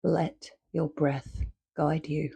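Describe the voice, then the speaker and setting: A woman leading a guided meditation as a teacher, speaking calmly and in short, measured phrases with long pauses. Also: deep voice, creaky, slightly rough.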